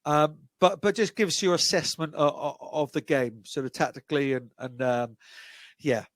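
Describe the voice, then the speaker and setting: A man's voice: on the high side, fairly even intonation, moderate pace, no room sound. A man hosting a rugby podcast, chatting casually and often repeating words as he looks for his next question. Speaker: podcast host. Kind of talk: casual interview.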